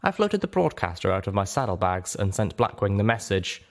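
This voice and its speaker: Low-voiced, expressive male storyteller. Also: fairly quick. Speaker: narrator. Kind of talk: dramatic first-person audiobook narration.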